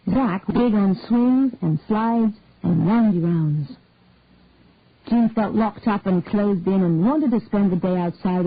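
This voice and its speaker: A woman's voice: medium pitch, dry recording. Woman narrating a story on a tinny line. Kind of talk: scripted short-story narration. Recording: tinny phone-like dry sound.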